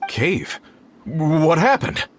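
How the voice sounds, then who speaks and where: A man's voice: on the high side, very animated, extremely slow, slight background noise, exaggerated voice. Earnest, obliging crew foreman. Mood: concerned, puzzled. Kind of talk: fantasy game acting. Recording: faint music behind.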